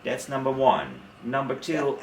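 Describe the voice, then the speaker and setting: A man's voice: mid-range voice, measured pace, slight background noise. A young adult man, a native Dutch speaker with a Dutch accent, talking frankly and telling stories in a casual conversation. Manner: frank, opinionated, animated storyteller. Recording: roomy sound from a distant mic.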